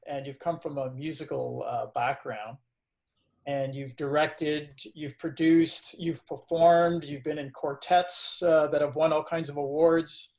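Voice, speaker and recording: A man who teaches karate, hosting a casual video-call interview in a relaxed, chatty way with lots of fillers. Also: fairly high pitch.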